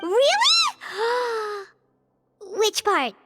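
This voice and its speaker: A woman voicing a small, excitable, childlike fairy companion in a video game, with squeaky, expressive delivery. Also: very slow, exaggerated voice. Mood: surprised. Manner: exclamatory, expressive. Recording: music behind the voice.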